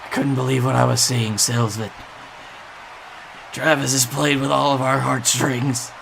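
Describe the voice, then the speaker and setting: Deadpan wrestling color man, acted by a man, matter-of-fact delivery, slight background noise. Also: medium pitch, unhurried, vocal fry. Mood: earnest, deadpan. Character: deadpan male color commentator, Captain Tibbs. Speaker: color commentator. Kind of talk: wrestling broadcast commentary.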